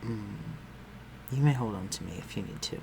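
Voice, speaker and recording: A man playing a refined, protective vampire lord in an intimate audio roleplay, speaking formally and with measured command. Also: on the low side, background noise. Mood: calm, guiding. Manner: formal, measured, archaic phrasing, dropping to breathy murmurs.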